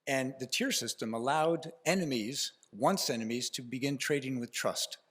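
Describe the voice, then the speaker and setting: A man from Canada with a Canadian accent, talking to a conference audience from the stage. His voice sits at an ordinary middle pitch. He talks in a loose storytelling way, full of "uh"s and restarted phrases. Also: normal speed, fairly bright tone. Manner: informal and storytelling, with frequent restarts and fillers. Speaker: keynote speaker at a conference. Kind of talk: conference speech.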